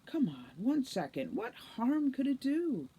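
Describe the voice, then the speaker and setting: A woman's voice: high voice, huge pitch swings, measured pace, mellow, slightly rough, voice pushed up, exaggerated voice. A woman reading a storybook, voicing a wily, defiant captive fairy officer. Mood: coaxing flattery. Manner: wary, turning coaxing or threatening.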